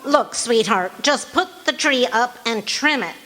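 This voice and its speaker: A woman's voice: fairly high pitch, expressive, a little slow, pushed and bright, low-quality recording. A woman playing a patient, dryly teasing wife in an old-time radio comedy, with an affectionate, wry manner. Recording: live stage performance with background noise.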